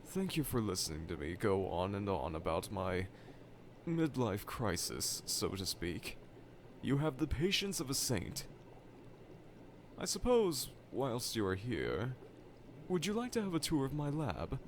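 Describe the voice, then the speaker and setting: A man's voice: mid-range voice, wildly swooping pitch, measured pace, slight background noise. A man voicing a vain, needy middle-aged evil scientist with pompous, formal, melodramatic diction in a fan audio roleplay. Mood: hesitant, pensive. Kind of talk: fan audio roleplay monologue addressed to listener. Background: laboratory ambience.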